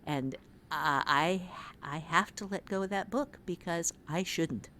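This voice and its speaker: A woman's voice: on the low side, measured pace, bright, forceful tone. A woman speaking as a writing teacher in a conversational podcast, earnest and emphatic, repeating phrases to drive her point home.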